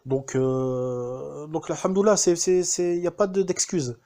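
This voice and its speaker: Un homme français qui témoigne de manière spontanée, en hésitant souvent et en reprenant ses phrases. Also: male voice, on the high side, flat delivery, unhurried, dark tone. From France. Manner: hesitant, many fillers and restarts. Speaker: student giving a testimonial. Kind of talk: casual spoken testimonial.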